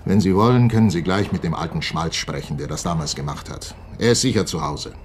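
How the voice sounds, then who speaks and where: A man acting in a radio play as a defensive, self-important head of company security who explains himself deliberately. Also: sits low, slight background noise, close to an everyday voice. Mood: grudging.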